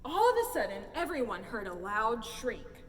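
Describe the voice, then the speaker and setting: Female storyteller for a children's picture book, high-pitched and expressive, recorded at a distance in a reverberant hall. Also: normal speed. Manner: theatrical, expressive. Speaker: storyteller reading aloud. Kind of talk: animated children's picture book read-aloud. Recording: roomy hall, distant mic, faint noise.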